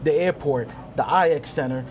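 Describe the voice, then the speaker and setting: A man's voice: fairly high pitch, moderate pace, a crowd in the background. A man who owns a restaurant, chatting casually and proudly about his food and location. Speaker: restaurant owner.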